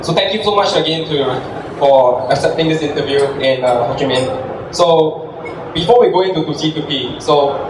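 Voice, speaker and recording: A male interviewer with a slightly high voice hosts an informal live session in an irreverent manner. The recording is very echoey and distant, with clear background noise. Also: normal speed. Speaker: event host and interviewer. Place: indoor live meetup event before an audience. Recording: echoey, noisy venue recorded from far off.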